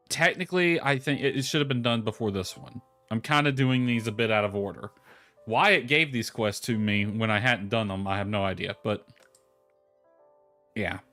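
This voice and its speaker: A man's voice: medium pitch, a bit bright. Casual male game streamer over background music. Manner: rambling, thinking aloud. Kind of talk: casual live gameplay commentary.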